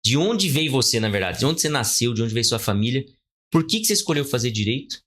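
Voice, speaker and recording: A man's voice: medium pitch, big pitch swings, quick pace, fairly bright tone. A Brazilian man in his forties hosting a podcast, talking and asking questions in a conversational way. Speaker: podcast host, lawyer. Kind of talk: conversational interviewing.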